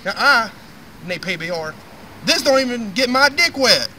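Casting: male comic as crude boozy Southern redneck spinning tales, very sharp forceful voice, recorded outdoors from a distance. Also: very high voice, animated, moderate pace, heavily acted. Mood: gruff, irritated. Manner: brash, profane, drawling. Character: crude, drunken redneck man spinning rambling foul-mouthed tales. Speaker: storytelling host character. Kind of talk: comic in-character monologue. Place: outdoors on a wooden deck in woods. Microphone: camera a few meters away. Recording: distant camera mic with faint outdoor noise.

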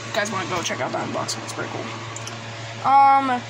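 A woman with a fairly high voice talks casually through a show-and-tell, hesitant and rambling. She is recorded on a handheld phone indoors, with clear noise behind her. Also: expressive, normal speed. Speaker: collector presenting gadgets. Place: small indoor room at a desk. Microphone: handheld phone camera. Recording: noisy handheld recording, background hiss. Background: noise and radio devices.

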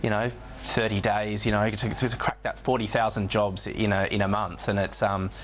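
A man's voice: lowish voice, level tone, normal speed. A man in his thirties with an Australian accent talks conversationally in an interview, often repeating words and saying "you know". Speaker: studio guest, online business representative. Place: television news studio, indoors.

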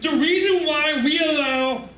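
A man's voice: very high-pitched, unhurried, bright, forceful tone, slight background noise. A middle-aged male pastor preaching a sermon in a conversational, emphatic way, with asides to the congregation, on a church stage with a handheld mic. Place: church stage, medium hall, indoors. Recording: echoey room, camera set far back.

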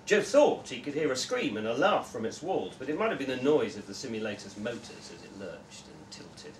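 A man's voice: mid-range voice, big pitch swings, fairly quick. A young man giving a live reading of a short story, narrating it to a room. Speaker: story reader at a literary festival. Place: indoor room before a live audience, recorded from several metres. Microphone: distant camera microphone. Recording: roomy echo, distant camera mic, faint noise.

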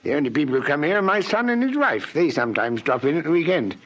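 An obliging old householder with a high, very lively voice. He hesitates, stammers and fusses politely, in the manner of vintage radio drama. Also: quick pace, slight background noise. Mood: obliging, chatty. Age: older. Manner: hesitant, stammering, repeats himself. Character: fussy, obliging old householder, hard of hearing.

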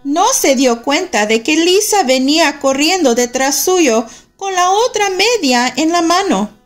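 Slow, expressive woman reading aloud. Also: high-pitched, soft background music. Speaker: storybook reader. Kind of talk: children's picture book read-aloud.

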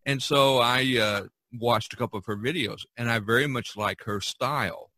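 A man hosting a casual podcast conversation, talking in a halting, conversational way. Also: mid-range voice, bright, forceful tone, dry recording. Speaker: podcast host.

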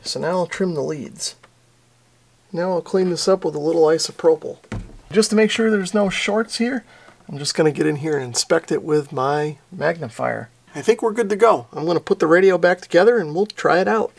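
A man in his forties narrates a repair job in a casual way. His voice sits a little high for a man and has a clear gravelly creak. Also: expressive, moderate pace. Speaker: repair channel host.

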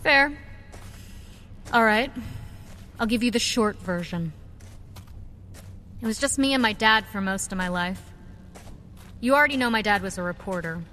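A spirited young woman, a nurse turned vigilante, in a radio play. Her voice is medium in pitch, frank and wry, with faint ambience behind it. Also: a little slow, long pauses, sharp tone, slight background noise. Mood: earnest, reminiscing. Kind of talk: audio drama acting.

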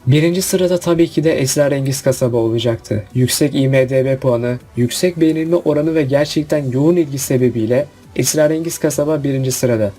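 A man's voice: medium pitch, brisk, hardly any pauses, dark tone. A man narrating a scripted countdown review. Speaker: video narrator. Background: background music.

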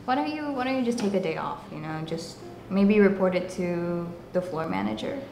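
A woman's voice: lowish voice, expressive, normal speed. A young woman playing a concerned coworker urging a friend in an amateur drama. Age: teenager or young adult. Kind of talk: amateur scripted drama dialogue. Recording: roomy echo, clear background noise.

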